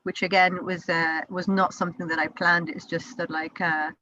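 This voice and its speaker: A woman's voice: lowish voice, flat delivery, normal speed, sharp tone, lo-fi sound, slight background noise. Chatty British-accented woman on a call. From Israel. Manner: chatty, many fillers and restarts. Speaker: company co-founder presenting to a networking group. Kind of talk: informal online presentation. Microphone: video call.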